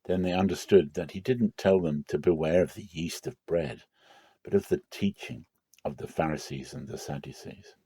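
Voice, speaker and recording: A man giving a measured, dramatic reading of scripture as a Bible reader. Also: low-pitched, warm tone, husky, no room sound.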